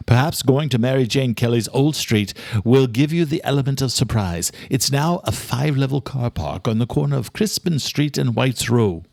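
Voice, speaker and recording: A man voicing an older, courteous, determined male doctor in an audiobook, speaking in a formal, measured way. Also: mid-range voice, animated, creaky, darkish tone, no room sound, pitched above the performer's own voice. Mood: reassuring, scheming. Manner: formal, measured, old-fashioned address. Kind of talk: audiobook character dialogue.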